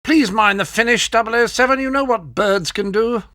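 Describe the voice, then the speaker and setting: High, prim, exasperated gadget master. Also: male voice, moderate pace, sharp tone, no echo, clean studio sound. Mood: nagging caution. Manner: prim, dryly sarcastic, nagging. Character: fussy, exasperated male gadget master advising a spy. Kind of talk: scripted video game voice acting.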